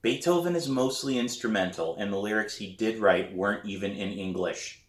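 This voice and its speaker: A man's voice: lowish voice, normal speed, roomy sound, close to an everyday voice. A man voicing a determined, guarded young teen dealing with a younger sibling in a storybook reading. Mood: bargaining. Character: determined, exasperated older sibling, music-obsessed young teen drummer.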